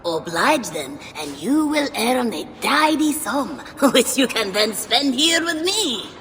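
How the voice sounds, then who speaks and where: Amid a long reverb and clear background noise, a scheming female imp who trades wares in a game. Her delivery is theatrical and insinuating, swooping widely as she teases. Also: on the high side, sing-song, slow pace, fairly bright tone, heavily acted. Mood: businesslike, sly. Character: sly, teasing, scheming female imp merchant. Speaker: merchant character. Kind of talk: game cutscene acting.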